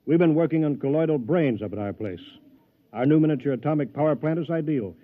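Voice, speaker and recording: An actor playing a smug, ruthless, wealthy rival in an old-time radio drama, pompous and taunting. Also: male voice, fairly high pitch, animated, fast talker, lightly acted. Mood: boastful. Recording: tinny, narrow vintage radio recording.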